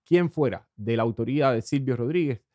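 A man from Cuba, hosting a morning radio program in a slow, lingering monologue with hesitant fillers. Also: mid-range voice, dark tone, no room sound, studio quality. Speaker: radio host.

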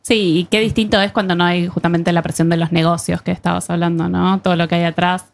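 A woman's voice: on the low side, level tone, quick pace, vocal fry. A young woman with a Rioplatense Spanish accent, hosting a casual chat in a joking, slangy way. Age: young adult.

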